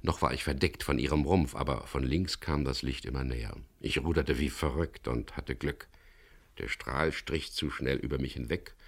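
Wry, tough skipper, radio crime drama lead; extremely low, creaky voice, narrates in laconic, measured fashion. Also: male voice, exaggerated voice.

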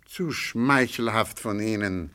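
Shrewd, unruffled gentleman sleuth. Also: lowish voice, smooth. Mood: calm irony. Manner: measured, ironic, polite. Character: shrewd, unruffled amateur sleuth, quietly ironic man. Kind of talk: radio play dialogue.

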